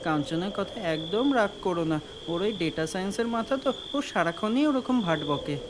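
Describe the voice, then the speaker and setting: A man's voice: sits high, lively intonation, moderate pace. An actor playing a timid, easily spooked young man with a plaintive, wheedling way, in a comedy-mystery audio drama. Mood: pleading, scared. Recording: reverberant, noisy night ambience with crickets.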